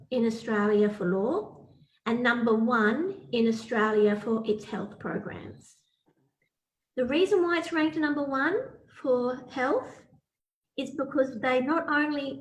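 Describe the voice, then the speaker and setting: A woman giving an informal promotional presentation to prospective students as a college representative, encouraging and emphatic, repeating key points. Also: sits high. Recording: roomy, echoing voice pickup.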